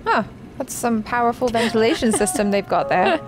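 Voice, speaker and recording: A woman's voice: fairly high pitch, animated, moderate pace. A young woman giving casual commentary as a reaction show host. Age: young adult. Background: game music.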